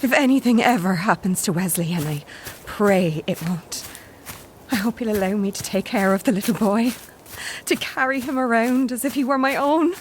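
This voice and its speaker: An actress playing a woman recording a final phone log in an audio horror drama, halting and intimate. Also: on the high side, close to an everyday voice. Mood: adoring, entranced. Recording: noisy phone voice memo with ambience.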